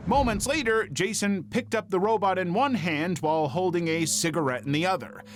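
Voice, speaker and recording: A man reading scripted true-crime narration in a measured, dramatic storytelling manner. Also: sits high.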